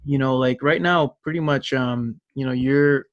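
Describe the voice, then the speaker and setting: A man's voice: mid-range voice, steady tone, unhurried, no echo, highs cut off. A man hosting an interview show, chatting casually in an enthusiastic, complimentary and affirming way. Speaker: podcast host.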